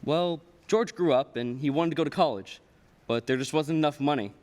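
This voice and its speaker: A man's voice: a little high, moderate pace, a little mellow, close to an everyday voice. A man playing the storyteller, narrating a scripted stage radio play. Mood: explanatory. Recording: reverberant hall stage recording.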